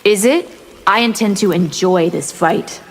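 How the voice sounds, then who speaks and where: A woman's voice: mid-range voice, measured pace, loud music behind the voice, natural voice. A woman playing a haughty, predatory vampire warrior in fighting game dialogue, theatrical and defiant. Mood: haughty, hungry. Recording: very reverberant, loud game noise behind.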